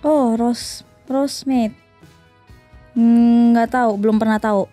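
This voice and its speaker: A woman's voice: a little high, fairly even intonation, measured pace, mellow, dry recording, faint music behind. A woman from East Java guessing in a casual interview, sounding unsure.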